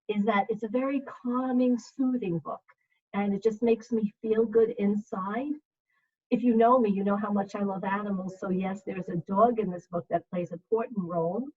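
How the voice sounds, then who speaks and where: Husky woman teacher on a phone-like call. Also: on the high side, a little mellow. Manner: measured, simple phrasing for young listeners. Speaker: second grade teacher. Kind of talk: children's read-aloud and introduction to pupils. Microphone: video call. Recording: muffled, phone-like video call audio.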